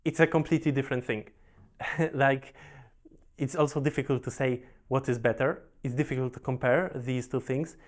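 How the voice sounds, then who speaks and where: A young man from Poland with a Polish accent, a professional Go player, telling his story in a relaxed, halting way with many restarts and fillers. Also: medium pitch. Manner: halting, repeats words, frequent fillers.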